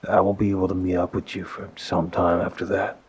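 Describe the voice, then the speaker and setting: A man playing a soft-spoken, apologetic mafia boss boyfriend in an intimate ASMR roleplay, hesitant and gentle, with a low, husky, breathy voice. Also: little pitch movement, slight background noise, lightly acted. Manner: hesitant, gentle, sighing pauses. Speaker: audio roleplay performer. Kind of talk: ASMR audio roleplay, one-sided intimate phone call.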